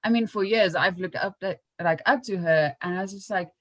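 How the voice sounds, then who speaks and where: A woman's voice: medium pitch, fairly quick, no room sound. A young woman hosting a podcast, chatting casually and informally in an anecdotal style. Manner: informal, acts out remembered remarks. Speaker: podcast host.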